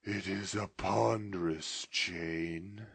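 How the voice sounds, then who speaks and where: A man voicing a doomed, mournful male ghost in chains, drawing out his words in a slow lament. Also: deep voice, steady tone, vocal fry, fairly bright tone, breathy edge, no echo, exaggerated voice.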